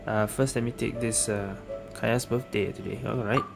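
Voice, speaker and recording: A man's voice: lowish voice, fairly even intonation, moderate pace, creaky. A man giving casual gameplay commentary as a gaming host, dropping colloquial tags like lah and eh. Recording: game music behind the voice. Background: video game music.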